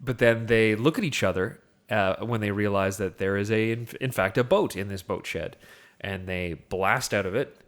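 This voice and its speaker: A man's voice: on the low side, moderate pace. A man co-hosting a film podcast, talking casually and telling things as a story with acted-out asides. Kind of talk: casual film discussion with plot recap.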